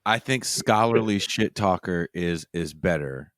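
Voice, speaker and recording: A man's voice: low-pitched, measured pace, fairly bright tone, dry recording, clean studio sound. A man hosting a podcast, casual and laid-back, laughing often and swearing freely, from Oakland. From Oakland, California. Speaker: podcast host. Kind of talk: casual interview chat.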